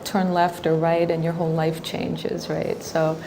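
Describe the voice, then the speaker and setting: A woman's voice: deep voice, monotone, a little slow, creaky, a little hiss or hum, rough recording. Reminiscing woman in her forties. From Washington, D.C., USA. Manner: reminiscing, with soft hesitations. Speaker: interviewee. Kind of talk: reflective documentary interview.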